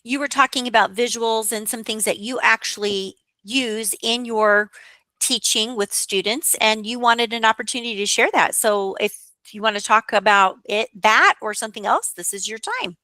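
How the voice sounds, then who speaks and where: A woman hosting a podcast, drawing out her guests with questions and summing up what they say. Her voice is fairly high and bright, heard close and dry with no room around it. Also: moderate pace, no room sound. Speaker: podcast host.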